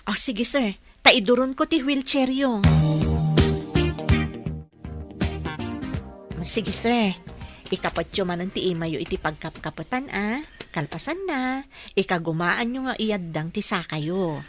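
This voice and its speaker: A woman acts a caring nurse in a radio drama. She is polite and encouraging, gently coaxing in a voice of average height. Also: medium pitch, expressive, moderate pace, over music, natural voice. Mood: respectful, concerned. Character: caring female nurse-caregiver, respectful and encouraging.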